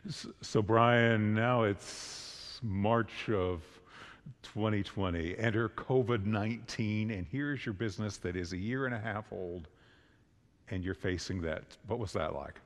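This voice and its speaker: A man's voice: on the low side, measured pace. An older man, a pastor, interviewing a guest during a church service in a conversational way. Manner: conversational, standing and gesturing. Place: church sanctuary. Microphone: stand mic. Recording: long reverb of a church hall.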